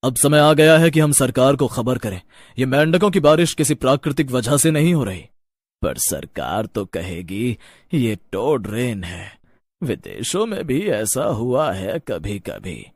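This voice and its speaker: A man voicing a stern village headman in a horror story, measured and authoritative as he takes charge. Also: mid-range voice, big pitch swings, no echo, studio quality. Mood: urgent.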